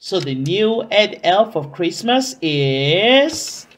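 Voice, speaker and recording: A woman voicing Santa as a jolly, commanding old man in a children's storybook, booming and measured. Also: big pitch swings. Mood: warm, relieved.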